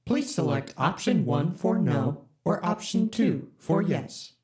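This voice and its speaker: Deadpan corporate ship AI. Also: medium pitch, slow. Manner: flat, polite, bureaucratic. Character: deadpan corporate spaceship computer AI. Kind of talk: audio drama.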